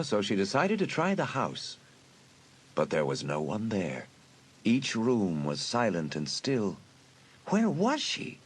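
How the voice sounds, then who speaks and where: Husky male storyteller. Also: lowish voice. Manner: expressive storytelling. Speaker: narrator. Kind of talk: scripted audiobook narration.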